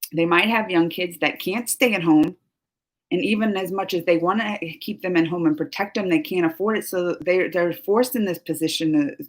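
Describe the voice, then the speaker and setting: Emotional rambling woman streamer. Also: lowish voice. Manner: emotional, rambling, many restarts and fillers. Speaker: livestream host. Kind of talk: casual live stream politics chat.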